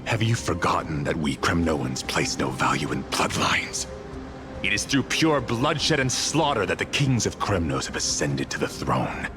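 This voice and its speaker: A ruthless king, super deep and slow, solemn and imperious, fantasy game scene. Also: sing-song, music in the background. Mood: bitter scorn. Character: ruthless, grim warrior king, weary of bloodshed. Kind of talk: dramatic video game acting.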